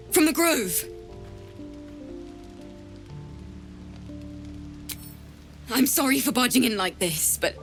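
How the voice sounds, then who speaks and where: A timid young woman bard in a fantasy game, eager to prove herself. She speaks slowly in a fairly high voice, hesitant and sincere, with music playing behind. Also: fairly bright tone, exaggerated voice. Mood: flustered, apologetic. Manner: hesitant, earnest, pleading. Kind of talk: fantasy video game character acting.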